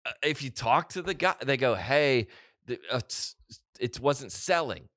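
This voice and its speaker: A man's voice: medium pitch, animated, a little slow, fairly bright tone, dry recording. Rambling man host in a dry studio. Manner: rambling, restarts, acts out voices in stories. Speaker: podcast host. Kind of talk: casual chat. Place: small studio with dark curtains. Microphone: studio mic on desk stand, headphones.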